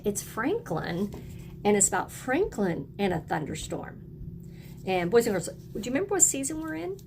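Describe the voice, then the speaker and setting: A woman's voice: mid-range voice, big pitch swings, normal speed, gravelly, darkish tone, faint music behind. A woman teacher leads a read-aloud lesson for young children, encouraging them and prompting them with questions and repeats.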